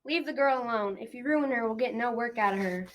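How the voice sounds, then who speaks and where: A woman's voice: on the high side, fairly quick, very noisy, close to an everyday voice. A young teenage girl playing an ugly, bossy mother giant in a school radio drama, snappish and scolding. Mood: irritated. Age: young teen.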